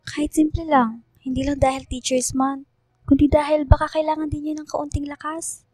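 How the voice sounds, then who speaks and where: A woman acts out a worried, caring schoolgirl in a radio drama. Her voice is high and mellow, recorded dry with faint music. She speaks earnestly and respectfully. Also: level tone, moderate pace, dry recording, natural voice.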